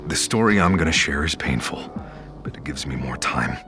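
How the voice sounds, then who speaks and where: Dark, scarred captive in audio drama. Very low voice, hushed and deliberate, music underneath. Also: male voice, expressive. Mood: secretive, calculating. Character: dark, troubled scarred male captive, narrating his own ordeal. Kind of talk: audio drama narration and dialogue.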